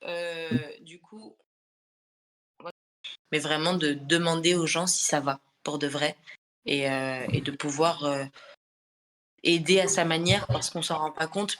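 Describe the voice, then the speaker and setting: A woman's voice: sits low, fairly even intonation, unhurried, a bit bright. A woman from the Paris suburbs hosting a casual online group discussion, thoughtful and searching for her words as she goes, on a voice call from home. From France, Paris suburbs. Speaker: host.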